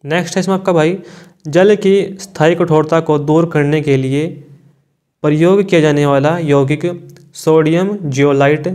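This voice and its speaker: A man's voice: on the high side, a little mellow. A man, an exam coaching teacher reading out quiz questions and giving answers in an informal, brotherly way.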